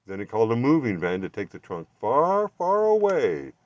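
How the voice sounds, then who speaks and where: A man reading a children's storybook aloud with expressive, deliberate narration. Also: mid-range voice, dry recording, dull audio. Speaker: children's bookstore reader.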